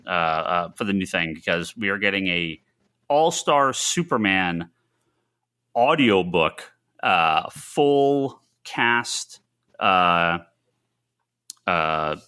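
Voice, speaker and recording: Male podcast co-host, bright forceful voice, very animated pitch, stumbling and repetitive, casual chat. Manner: halting, repeats words, mimics own reactions in mock quotes. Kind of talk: casual comics discussion.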